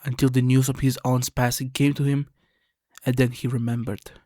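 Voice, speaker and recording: A man's voice: mid-range voice, level tone, moderate pace, warm tone, dry recording, studio quality. A man reading scripted video essay narration in an earnest, somber, explanatory way. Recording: music under the voice.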